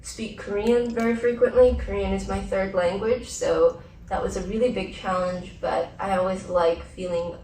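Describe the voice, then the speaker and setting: A woman of middle pitch gives articulate, reflective answers as a guest at a film Q&A, now and then breaking into a light laugh. Her voice sounds distant and roomy, carried through a large theater. A faint hum sits underneath. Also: level tone, moderate pace, warm tone. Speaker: actress, festival guest. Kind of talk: post-screening Q&A answers.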